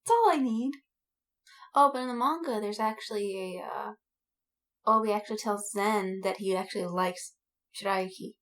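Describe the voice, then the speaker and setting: A woman's voice: mid-range voice, normal speed, pauses often, fairly bright tone. A woman podcast co-host in a casual chat, talking in a giggly, gushing fangirl way. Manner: giggly, gushing fan enthusiasm. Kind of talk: casual chat about anime and fandom.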